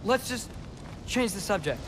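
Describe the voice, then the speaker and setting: A man's voice: sits high, animated, measured pace, background noise. A young actor playing an earnest, plucky, slightly awkward teenage boy in a video game. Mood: tentative. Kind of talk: video game cinematic acting.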